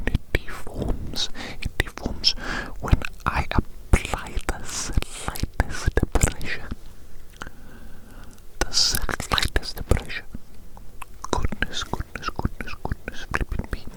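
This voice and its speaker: A performer whispering an ASMR roleplay as a doting evil mad scientist, breathy, fussy and coaxing, repeating phrases slowly. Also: close to an everyday voice, low-quality recording. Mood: fussing, careful. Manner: slow, repetitive, fussy, coaxing.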